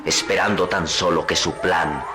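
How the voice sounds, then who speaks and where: A man's voice: sits low, steady tone, moderate pace. A man narrating an old radio drama in a grave, suspenseful tone.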